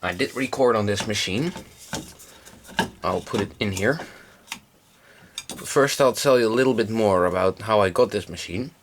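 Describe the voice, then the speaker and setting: A young man presents in English with a fairly low voice. He has a slight cold and talks informally, feeling his way through explanations like a hobbyist showing off equipment. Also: normal speed, long pauses, slight background noise. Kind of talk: casual product demonstration.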